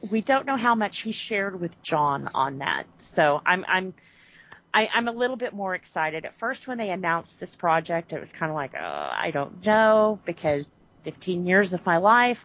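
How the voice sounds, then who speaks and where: A woman's voice: lowish voice, a bit bright, lo-fi sound. A woman co-hosting a podcast in casual chat, rambling and correcting herself as she goes, laughing often. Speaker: podcast co-host.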